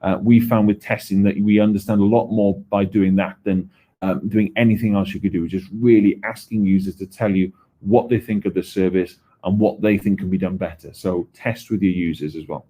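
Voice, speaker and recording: A man presents his research at a conference, in a fairly low voice with a mellow, soft tone. His delivery is conversational and unhurried, full of ums and small restarts as he walks through his points. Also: steady tone. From Wales.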